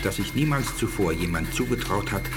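A man's voice: on the low side, animated, a little fast, noisy background, lightly acted. An older man playing an aged, fatherly alien scientist in a 1980s German radio play, speaking earnestly and emphatically. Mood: amazed, impressed. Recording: radio play with loud music underneath.

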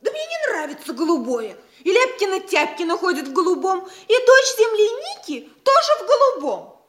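An actress playing a contrary young provincial daughter in a theatrical radio play, petulant and coquettish. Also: female voice, very high voice, sing-song, moderate pace.

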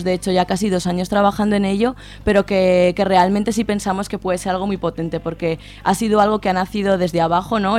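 Lowish-voiced Spanish woman, explaining conversationally. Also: a little mellow. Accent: Spanish from Spain. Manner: explanatory, frequent tag questions. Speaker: interview guest, neighbourhood activist. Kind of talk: conversational radio interview.

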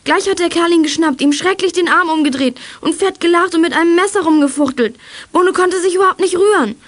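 Plucky girl detective, really high voice, emphatic, kids audio drama. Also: a little fast. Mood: agitated, indignant. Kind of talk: German children's radio play acting.

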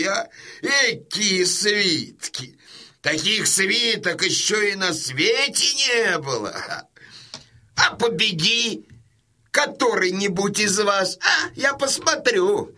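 A man's voice: high-pitched, pushed and bright, heavily acted. An actor playing a boisterous old Cossack father in a radio play, teasing and combative with jovial exclamations.

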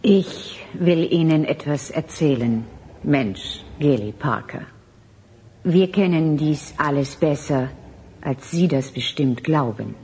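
Slow, low-voiced alien emissary. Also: female voice, mellow, slight background noise. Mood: solemn, earnest. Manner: deliberate, formal, oddly stilted phrasing. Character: calm, solemn transhuman alien emissary among humans. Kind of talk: German radio drama acting.

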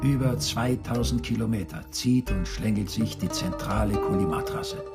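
Camp-story narrator, lowish voice, scripted literary radio play, plucked strings underneath. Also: male voice, moderate pace, vocal fry, over loud music. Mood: narrating. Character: male narrator describing camp and road.

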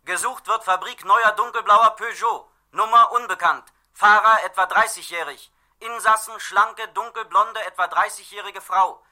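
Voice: medium pitch, moderate pace, sharp tone. A performer playing an impersonal police dispatch announcer, official and staccato, in a 1960s radio play. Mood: urgent, impersonal.

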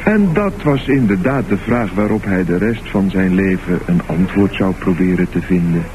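A man narrating a scripted radio thriller in measured, deliberate short phrases. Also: deep voice, lively intonation, background noise. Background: loud music.

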